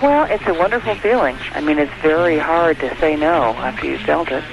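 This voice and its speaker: Higher-voiced caller on a noisy line. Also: male voice, animated, brisk, vocal fry. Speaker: radio show caller. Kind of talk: casual phone chat. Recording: noisy phone call on AM radio recording.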